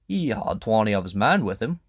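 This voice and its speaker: A man's voice: lowish voice, very animated, fairly quick, dry recording, clean studio sound. A man acting a proud, earnest king in an audio drama, speaking formally and emphatically with indignation and pleading. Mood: frank, earnest.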